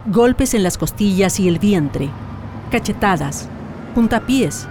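A woman playing a solemn, measured narrator in a radio drama. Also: medium pitch, animated, darkish tone, a little hiss or hum. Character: solemn female narrator recounting mistreatment of prisoners.

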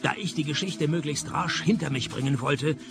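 An actor playing a sardonic, weary private detective, narrating a comic hard-boiled radio play in a deadpan manner. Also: male voice, a little high, normal speed, creaky, over music. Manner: deadpan, dry wit. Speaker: narrator and main character.

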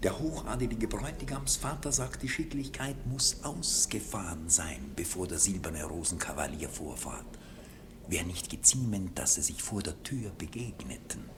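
Stiff formal house steward, really deep voice, hissy old radio play. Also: female voice, animated. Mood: formal urging. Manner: measured, formal, deferential admonishing. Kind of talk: 1960s German radio drama acting. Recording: hissy 1960s radio recording.

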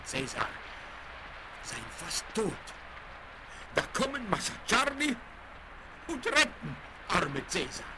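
An actor playing an excitable, devoted servant who exclaims in broken pidgin, in a children's western radio play. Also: male voice, high voice, takes it slowly, pauses often, heavily acted. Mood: grateful, plaintive. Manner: exclaiming, speaks broken pidgin of his master's language. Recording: noisy vinyl rip of radio play.

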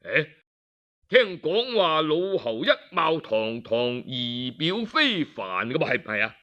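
A man's voice: a little high, very animated, slow pace, sharp tone, lo-fi sound, exaggerated voice. A man with a Cantonese accent voicing a vain, boastful ancient king in an oral story, self-satisfied and exclamatory. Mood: curious.

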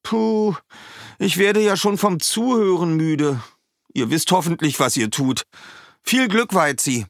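A man voicing a curious, sceptical talking swallow who keeps asking doubting questions, with a husky voice. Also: medium pitch, very animated, a little slow, fairly bright tone, no room sound, clean studio sound, pitched above the performer's own voice, heavily acted. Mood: teasing.

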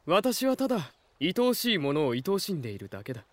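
A man's voice: on the high side, wildly swooping pitch, fast. A man acting in a Japanese audio drama as a composed, formal young emperor who teases dryly and dotes on his wife. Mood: teasing, fond.

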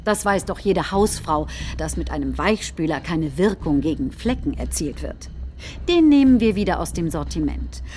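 A woman acting in a radio play, reciting a populist politician's speech in a deliberate, rhetorical manner. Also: fairly low pitch, expressive, a little mellow, slight background noise, close to an everyday voice. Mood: combative, mocking. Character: combative, folksy populist politician rallying supporters.

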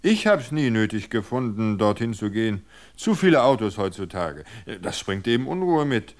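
An old village gardener from a storybook audio drama, acted out by a male narrator. He talks in a folksy, unhurried way, chatty and easygoing, and grumbles with old-fashioned opinions. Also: fairly low pitch, big pitch swings. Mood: grumbling. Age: older.

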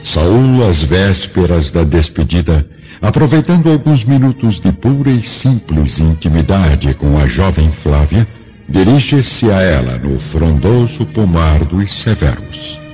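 A man's voice: deep voice, lively intonation, a little slow, dark tone, faint music behind. A man narrating a scripted radio drama in a storyteller's role. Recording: dull lo-fi recording.

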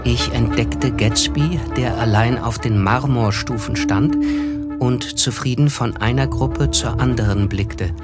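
An actor playing a reflective, observant young man who narrates a literary radio play in a measured, polite manner. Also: mid-range voice, over loud music, close to an everyday voice.

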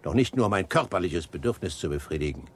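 Animated, opinionated gentleman cynic. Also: low-pitched, quick pace, husky, exaggerated voice. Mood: scornful, lecturing. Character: worldly, cynical gentleman, decisive and opinionated diner. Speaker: actor in a radio drama. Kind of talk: German radio play acting.

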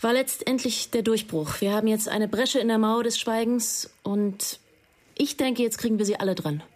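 A woman's voice: a little high, moderate pace, darkish tone, a little hiss or hum, natural voice. A woman acting in a radio crime drama as a driven, brooding investigator who speaks in an earnest, searching way, in a naturalistic style. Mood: deferential, taken aback.

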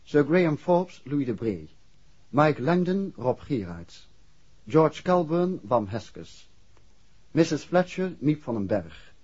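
A man's voice: fairly high pitch, very animated, takes it slowly, darkish tone, husky. A man with a Dutch accent announcing scripted radio drama credits in an old 1960s broadcast style. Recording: muffled 1960s radio recording.

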